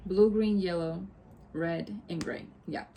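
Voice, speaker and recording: A young woman from Puerto Rico whose first language is Spanish talks to her viewers in a relaxed, rambling way. Her voice sits a little low, and she wanders into asides and corrects herself mid-thought. There is a faint hiss behind her. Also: takes it slowly. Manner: chatty, rambling, many asides and self-corrections. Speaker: vlogger. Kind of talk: casual chat to camera.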